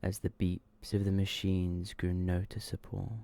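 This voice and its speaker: A man with a very low, creaky voice reads scary stories in an ASMR style. His delivery is slow and hushed, often sinking toward a whisper, with a dark, mellow tone. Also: flat delivery. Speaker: ASMR host reading short horror stories. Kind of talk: ASMR scripted narration.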